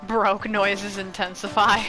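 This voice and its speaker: A woman streamer gives casual, playful commentary as she plays. Her pitch is middling, she likes repeating little phrases, and game music runs clearly underneath. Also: little pitch movement, slow, low-quality recording. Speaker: gaming streamer.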